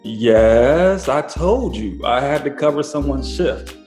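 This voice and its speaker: A man's voice: medium pitch, lively intonation, slow pace, darkish tone, echoey room, faint music behind. A man acting in an audio drama as an evasive, defensive boyfriend who is reluctant to commit.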